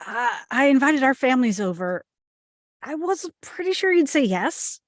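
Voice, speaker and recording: A casual, frank young man in a drama table read, acted by a woman. The delivery swings with lively intonation in a dry recording. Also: high-pitched, moderate pace, no room sound. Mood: upbeat.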